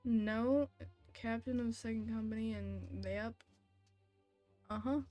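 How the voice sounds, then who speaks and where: Unhurried woman streaming a game, playfully sarcastic. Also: on the high side, steady tone, warm tone, faint music behind. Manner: sarcastic, playful, talks back to chat and game. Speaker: video game live streamer. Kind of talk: casual live commentary while playing.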